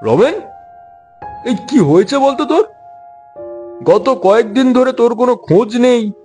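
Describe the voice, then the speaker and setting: A man's voice: extremely high pitch, lively intonation, a little slow, darkish tone, faint music behind. A man playing an anxious, caring friend who questions urgently, in a dramatized audio story. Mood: worried, urgent. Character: anxious, caring male friend at a hospital bedside.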